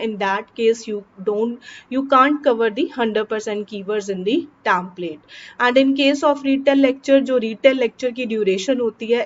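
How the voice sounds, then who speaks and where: Hindi-English woman coaching exam speaking. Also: on the high side. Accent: Indian English. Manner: mixes Hindi and English, slows down for English key phrases. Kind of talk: teaching lesson. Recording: dull lo-fi with faint background noise.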